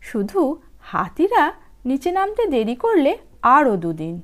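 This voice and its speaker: Female storyteller for children's audiobook: high voice, very expressive melody, dramatic phrasing. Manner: animated, unhurried, dramatic phrasing.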